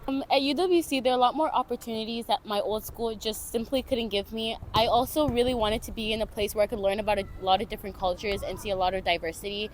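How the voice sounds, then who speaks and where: A young woman from Canada and Tanzania with a fairly high voice talks frankly and easily. Faint street noise hums behind her. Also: fairly even intonation, fast, few pauses. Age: young adult. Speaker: student interviewee.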